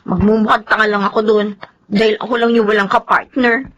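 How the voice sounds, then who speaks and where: A woman's voice: mid-range voice, flat delivery, fairly quick, a bit bright, dull audio, lightly acted. An actress playing a sulky, demanding young girlfriend in a Filipino radio drama, whining with a Filipino accent. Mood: sulky, petulant. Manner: whining, petulant.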